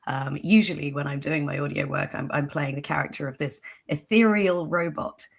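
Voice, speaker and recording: A woman with a husky voice, hoarse from flu, chatting casually and wryly as a guest. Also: deep voice, normal speed. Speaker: audio drama creator and guest. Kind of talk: casual chat.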